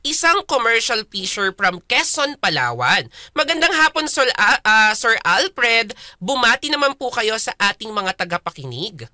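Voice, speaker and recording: A woman's voice: on the high side, very animated, measured pace, sharp tone, no echo, studio quality. A woman with a Filipino accent, co-hosting and asking questions in a radio interview.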